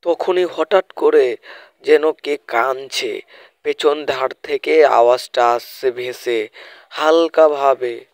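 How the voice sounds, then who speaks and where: Male narrator for a homemade cartoon tale: unhurried, colloquial, repetitive phrasing, dry recording. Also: mid-range voice, no echo. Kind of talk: informal storytelling for an amateur cartoon. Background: faint music.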